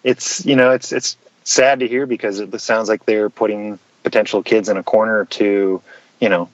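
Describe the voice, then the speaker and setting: Low-voiced American man, dull dry sound. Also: fairly even intonation, moderate pace, no room sound. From United States. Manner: easygoing, many fillers. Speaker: podcast guest, former player. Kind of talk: casual interview answers.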